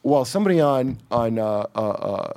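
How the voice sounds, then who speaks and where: A man's voice: mid-range voice, animated, normal speed, darkish tone. A man with a New Jersey accent co-hosting a podcast, rambling through self-deprecating jokes in casual chat. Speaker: podcast co-host.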